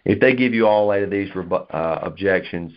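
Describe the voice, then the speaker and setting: A man's voice: sits low, fairly quick. A man talking casually and conversationally as a co-host of a sales coaching conference call. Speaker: co-host playing a customer in sales role-play. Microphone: conference call line. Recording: dull, lo-fi conference call audio.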